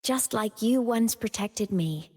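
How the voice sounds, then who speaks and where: A young woman playing a gentle, playful female digital ghost in a video game, with a tender, earnest and affectionate manner. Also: a little high, expressive, slow, in a large hall. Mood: earnest, determined. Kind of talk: video game character voice lines.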